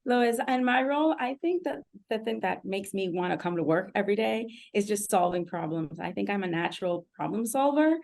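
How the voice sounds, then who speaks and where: A woman from Rico, speaking in a lively, friendly way on a panel. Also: mid-range voice, brisk, vocal fry, hardly any pauses. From Puerto Rico. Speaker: panelist, principal scientist. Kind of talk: panel discussion.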